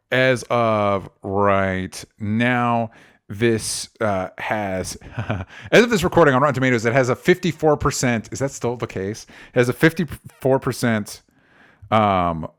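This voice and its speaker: A man's voice: lowish voice, a bit bright, clean tone. A man hosting a podcast, chatting casually and emphatically with strong opinions and the odd swear word. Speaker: podcast host. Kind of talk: casual opinionated movie chat.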